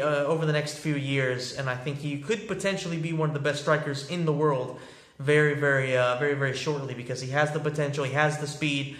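A young man in his twenties with a slightly high voice gives an off-the-cuff sports reaction. He talks conversationally and doubles up words to stress his points. The sound is echoey, as from a phone or webcam at arm's length in a small bare room. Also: fairly bright tone. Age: 20s. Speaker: sports commentator giving a post-match reaction. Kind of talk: casual unscripted analysis to camera.

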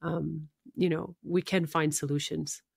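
A woman hosts a radio interview in a conversational way. Her voice sits a little low, and the sound is dry with no room echo. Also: fairly even intonation, unhurried, vocal fry, slightly rough.

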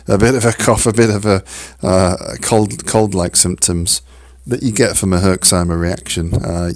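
A man with a hoarse, croaky voice hosting a solo podcast, talking haltingly with many fillers and restarts. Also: low voice, no echo. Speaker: podcast host. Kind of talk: casual solo podcast talk.

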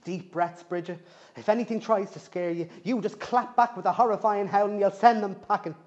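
A man voicing a timid, sweet teenage banshee girl in a high, anxious voice, on a dull lo-fi recording. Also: steady tone, brisk, a little mellow, close to an everyday voice. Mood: self-coaching. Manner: anxious, pleading, nervous.

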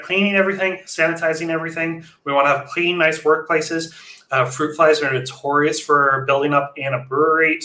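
A man's voice: a little high, pushed and bright. A man in his thirties giving an informal webinar lecture as a brewery consultant, with some fillers and restarts. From Canada. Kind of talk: slide presentation lecture. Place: home room, indoors. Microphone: webcam video call. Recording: echoey room on a webcam mic.

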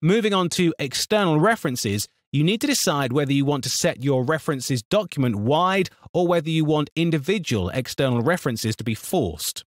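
A man narrating a scripted software tutorial in an instructional, step-by-step manner. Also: a little high, animated, normal speed, few pauses, no echo, clean studio sound.

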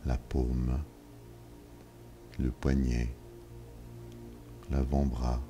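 A man leading a yoga nidra session, giving calm, measured instructions with long pauses. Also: very deep voice. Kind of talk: slow guided relaxation. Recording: soft music behind. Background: soft ambient music.

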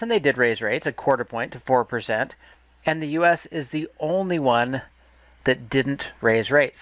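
A man's voice: on the high side, a bit bright, dry recording. A man walking through a market analysis lesson over a screen recording, explaining step by step with restarts and self-corrections. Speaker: market educator.

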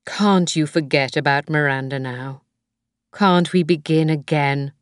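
A woman reading the lines of a gentle, reassuring husband in a soft, soothing way, as an audiobook. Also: low-pitched, unhurried, clear, resonant tone, dry recording, studio quality.

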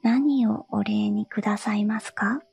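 A woman voicing a polite, gentle frog from a fairy tale in a soft whisper. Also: mid-range voice, fairly even intonation, a little slow, vocal fry, husky, faint music behind, full character voice. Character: slimy bloated frog in a spring, polite and gentle.

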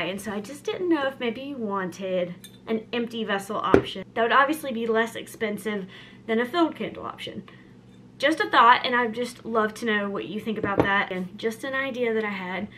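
A woman's voice: on the high side, a bit bright, slight background noise. A young woman talking casually and upbeat to camera in her home kitchen, full of fillers, filmed close up. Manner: chatty, upbeat, filler-heavy with lots of "like" and "um". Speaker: lifestyle vlogger. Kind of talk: casual chatty vlog. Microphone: camera close up at arm's length.

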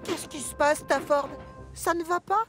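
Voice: sits high, measured pace, a bit bright, soft background music. An actor voicing a friendly, encouraging young male steam engine in a children's cartoon dub. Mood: concerned.

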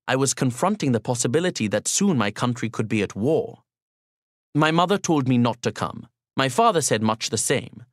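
A man reading literary fiction as an audiobook narrator in a measured, expressive storytelling manner. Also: medium pitch, dry recording, studio quality.

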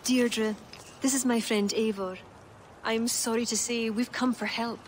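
A woman's voice: fairly high pitch, steady tone, a little slow, a little hiss or hum, lightly acted. An actress playing an earnest, worried young woman in a sombre video game drama. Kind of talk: video game cutscene acting.

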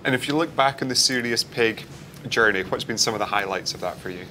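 Bright-voiced male interviewer, noisy background. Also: medium pitch, fast talker. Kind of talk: casual interview questions. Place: indoors in a bar. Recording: clear background ambience noise.

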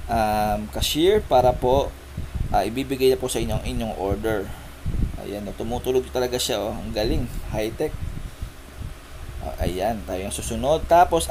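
A young man giving a polite, chatty walkthrough of a coffee shop, filmed on a handheld phone. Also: medium pitch. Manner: chatty, polite tour-guide narration. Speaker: vlogger. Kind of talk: casual walkthrough vlog. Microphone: handheld phone camera. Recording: noisy cafe with air conditioning.

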